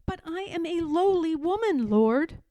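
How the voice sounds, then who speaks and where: Woman playing earnest, high-pitched, devout heroine. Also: animated, measured pace, warm tone, no room sound. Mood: humble, questioning. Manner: earnest, pleading prayer. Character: Harriet Tubman, humble, devout, determined enslaved woman. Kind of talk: storybook read-aloud.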